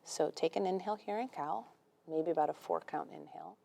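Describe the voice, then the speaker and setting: A young woman guiding a yoga class in a gentle, unhurried, conversational way. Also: low-pitched, creaky. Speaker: yoga instructor. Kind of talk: guided yoga class instruction.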